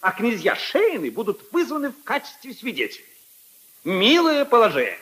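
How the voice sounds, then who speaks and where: An actor playing a haughty, indignant aristocratic prosecutor in a pompous, emphatic 1950s radio drama style. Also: male voice, very high-pitched, wildly swooping pitch, normal speed, fairly bright tone, slightly rough, heavily acted. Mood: indignant, scornful. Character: haughty, indignant aristocratic assistant prosecutor, brother-in-law of a prince. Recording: 1950s archive radio recording.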